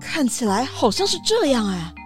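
A woman voicing a gentle town mayor. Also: a little high, sing-song, moderate pace, faint music behind.

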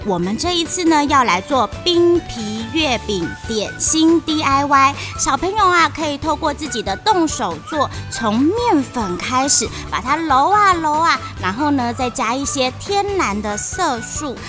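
A woman's voice: high voice, animated, a little slow, hardly any pauses, over music. A woman co-hosting a children's event promo, explaining a snack-making activity in an eager, bubbly way. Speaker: co-host who teaches snack making.

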